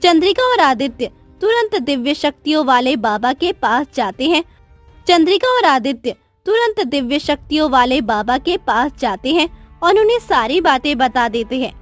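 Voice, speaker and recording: A woman's voice: high-pitched, sing-song, moderate pace, dry recording, faint music behind. Woman narrator of a cartoon story.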